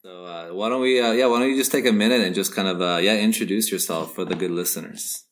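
A man hosting a podcast interview in a relaxed, casual way, swearing now and then. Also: deep voice, quick pace. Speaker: podcast host.

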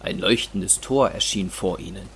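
A man's voice: deep voice, moderate pace, creaky, fairly bright tone, a little hiss or hum. A man reading scripted narration as the storyteller of a German audio drama.

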